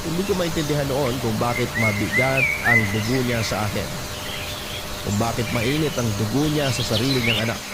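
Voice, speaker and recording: A man's voice: mid-range voice, natural voice. A man giving scripted dramatic narration of a personal letter story.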